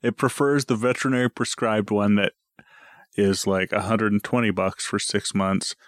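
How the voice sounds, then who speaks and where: A man's voice: on the low side, steady tone, normal speed, dry recording, studio quality. A man co-hosting a podcast in casual banter, joking at his own expense and swearing now and then. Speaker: podcast co-host.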